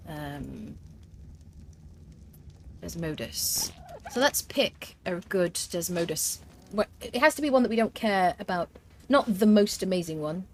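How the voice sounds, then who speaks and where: Woman streamer, slightly low-pitched, casual talk with plenty of ums, a faint hum underneath. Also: expressive, measured pace, pauses often, darkish tone. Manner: chatty, thinks aloud with fillers, reads and answers chat. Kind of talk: live gameplay commentary.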